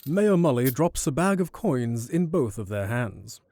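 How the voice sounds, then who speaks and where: Male narrator, mellow dark tone, middle pitch, scripted fantasy storytelling. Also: sing-song, unhurried.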